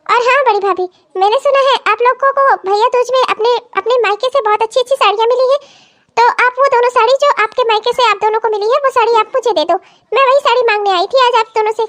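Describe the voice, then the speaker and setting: A woman's voice: extremely high pitch, fast talker, a bit bright. A woman playing a spoiled, entitled married daughter in a family melodrama, whiny, haughty and demanding. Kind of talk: Hindi family melodrama story acting.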